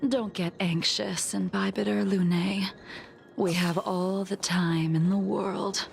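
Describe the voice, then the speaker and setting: Slow, creaky, haughty swordswoman. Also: medium pitch, little pitch movement, slight background noise. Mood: calm, composed. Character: calm, haughty, battle-loving swordswoman, taunting and unhurried. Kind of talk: scripted video game dialogue. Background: faint game music.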